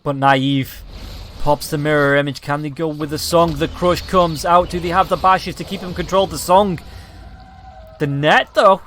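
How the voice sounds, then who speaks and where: A slightly hoarse man calling a match in quick, excited play-by-play commentary. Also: on the high side, a little hiss or hum. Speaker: esports caster.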